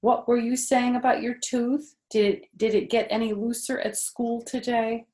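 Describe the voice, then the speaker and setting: A woman's voice: on the high side, little pitch movement, measured pace, darkish tone, echoey room, pitched above the performer's own voice, lightly acted. Lady doing a kindly old grandpa from a kids storybook, folksy, affectionate, kinda questioning. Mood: indulgent. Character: gentle, doting old grandpa.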